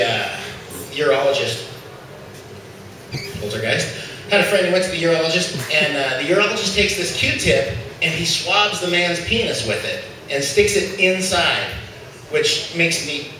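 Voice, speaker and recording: A man doing a stand-up comedy set in a loose style, pausing with "uh" between jokes. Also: fairly high pitch, animated, recorded from a distance. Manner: loose joke-telling with frequent "uh" pauses. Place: comedy club stage. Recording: echoey noisy club room from afar.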